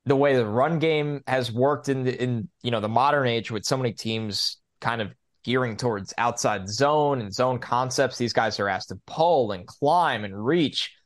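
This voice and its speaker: A man's voice: medium pitch, normal speed, hardly any pauses. A man co-hosting a sports podcast, giving his opinions on football in a casual, conversational way.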